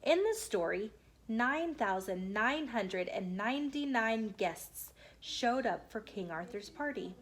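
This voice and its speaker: A woman hosting storytime, reading a children's book aloud in a measured, explanatory way. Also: fairly high pitch, lively intonation. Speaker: storytime host.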